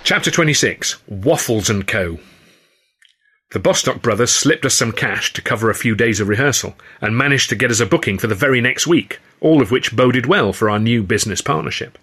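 A man's voice: mid-range voice, lively intonation, brisk, fairly bright tone, husky. A man narrating his own first-person novel as an audiobook.

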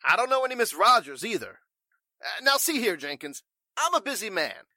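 A man playing an irritable, pompous and evasive warehouse boss in 1940s radio style, gruff and stammering. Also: high voice, very animated, normal speed, sharp tone, dry recording, studio quality, full character voice. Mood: irritated.

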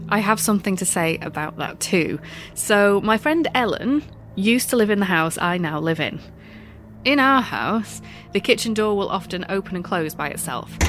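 A woman hosts her podcast, telling stories in a wry, self-mocking way, her nose stuffed up from a recent illness. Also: medium pitch. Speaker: podcast host. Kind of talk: scripted podcast monologue.

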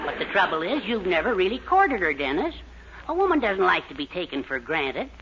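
A man's voice: high-pitched, big pitch swings, a little fast, background noise. An actor playing a fatherly, advice-giving older man, in a genial, folksy 1940s radio sitcom style. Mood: advising. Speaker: Mr. Anderson, Mildred's father. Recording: dull old radio broadcast.

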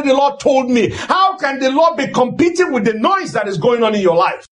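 A man preaching a sermon in an emphatic, exhorting way, often raising his voice and repeating phrases for rhetorical effect. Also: very high voice.

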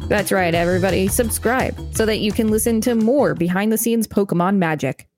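A woman's voice: mid-range voice, brisk, a little mellow, no echo, faint music behind. Young woman co-hosting a reading podcast. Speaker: podcast co-host. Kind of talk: reading aloud with casual commentary.

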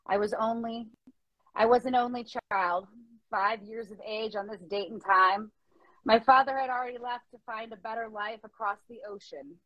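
A woman's voice: mid-range voice, dry recording. A woman speaking in an earnest, emotive way at a spoken-word open mic. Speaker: open mic poetry reader and participant. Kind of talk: dramatic poetry reading and casual discussion. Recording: muffled lo-fi dry audio.

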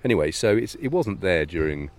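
A man's voice: low-pitched, sing-song, a little fast. A man co-hosting a podcast in casual chat, wry and teasing, sometimes addressing the listeners directly. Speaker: podcast co-host.

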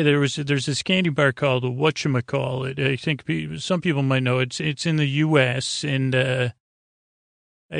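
An American man hosting a sleep podcast, rambling in a low-key, halting way and trailing off mid-thought. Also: gravelly, a bit bright, no room sound, studio quality. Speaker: podcast host.

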